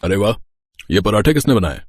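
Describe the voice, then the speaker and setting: A man's voice: lowish voice, big pitch swings, brisk, breathy edge, dry recording, studio quality. A man playing a mischievous young village brother-in-law in a storybook tale, teasing and pleading. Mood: teasing, cheerful. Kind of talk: storybook acting.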